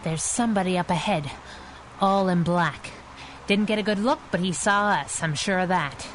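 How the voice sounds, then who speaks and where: A woman's voice: lowish voice, moderate pace, slight background noise, lightly acted, poor audio. Woman as sassy wisecracking superhero sidekick. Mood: alert, urgent. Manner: sassy, slangy, wry. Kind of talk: 1930s-style radio adventure acting.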